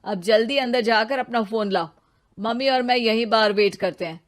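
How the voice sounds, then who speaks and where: A woman's voice: a little high, normal speed, natural voice. A mild young husband in a cartoon tale, voiced by a woman. He reasons and placates as the anxious peacemaker of the family. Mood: mildly annoyed. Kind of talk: cartoon story character voice.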